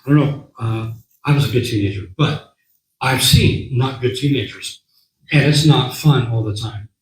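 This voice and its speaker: A man with a hoarse voice speaking to a meeting as a guest speaker, talking in a conversational way, repeating words and often clearing his throat. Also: medium pitch, normal speed, roomy sound, low-quality recording. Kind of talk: talk to a meeting. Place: meeting room.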